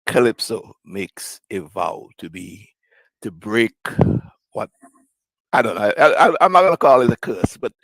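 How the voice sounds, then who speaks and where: A man in a book discussion, speaking reflectively and haltingly as he searches for the right words. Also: mid-range voice, a bit bright, no room sound, low-quality recording. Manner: hesitant, searching for words, long pauses. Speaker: book club panellist.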